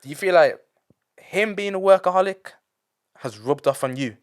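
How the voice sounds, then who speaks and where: A man's voice: high-pitched, moderate pace. A man hosts a casual podcast interview. He encourages his guest and asks follow-up questions. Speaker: podcast host.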